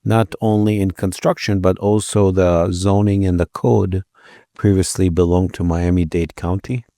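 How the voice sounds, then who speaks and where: A man in his sixties, raised in Israel and then the American Midwest, answering interview questions in a measured, polite, modest way. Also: sits low, steady tone, mellow, no room sound, studio quality. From Israel, raised in Minneapolis from age twelve. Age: 60s. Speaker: architect guest. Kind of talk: interview conversation.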